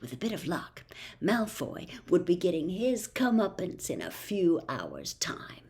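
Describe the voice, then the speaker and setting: Female audiobook reader, lower-leaning voice, expressive storytelling with wide, lively intonation.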